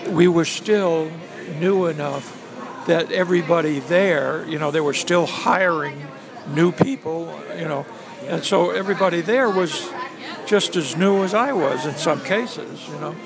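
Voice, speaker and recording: A man in his seventies from New England, casually recalling his time as a ride guide, halting with many fillers. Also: on the high side, creaky, a crowd in the background. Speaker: interviewee, former theme park ride guide. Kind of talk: casual reminiscing interview.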